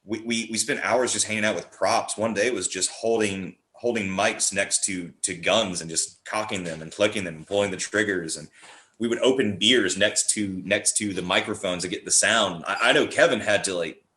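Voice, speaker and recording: A man with a fairly low voice chats casually as a podcast guest in an echoey room. He explains in an animated, demonstrative way, like a writer walking through his process. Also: moderate pace. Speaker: guest playwright and actor. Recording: roomy, echoey sound.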